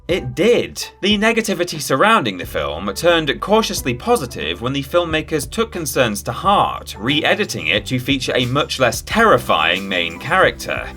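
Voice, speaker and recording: A man's voice: mid-range voice, bright, forceful tone. A man presenting a scripted countdown in a wry, tongue-in-cheek manner. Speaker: video presenter. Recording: music behind the voice. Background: background music with guitar.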